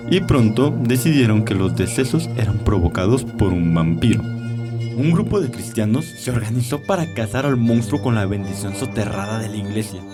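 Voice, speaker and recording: Man playing a sly old vampire, deep, animated. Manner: theatrical, leisurely, savoring storytelling. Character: sly, arrogant old male vampire doctor, amused storyteller. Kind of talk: audio drama character acting. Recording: music behind the voice.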